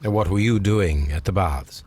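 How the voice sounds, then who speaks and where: An actor playing a composed, probing English detective in a video game, with a formal, measured, politely clipped manner. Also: male voice, deep voice, darkish tone, husky. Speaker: detective questioning suspects. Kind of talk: scripted video game acting.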